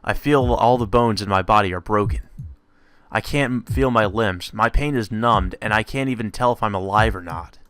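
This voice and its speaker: Forceful low-voiced man reading a game aloud. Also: moderate pace, clean tone. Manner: expressive, profane asides while reading. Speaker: let's player reading a visual novel aloud. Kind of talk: gaming commentary mixed with read-aloud narration. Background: game music.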